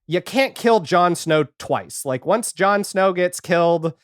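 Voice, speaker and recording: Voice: mid-range voice, expressive, slow pace, no room sound, clean studio sound. A man chatting casually as a podcast guest, rambling and retelling things with quoted reactions. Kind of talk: casual podcast chat about a TV series.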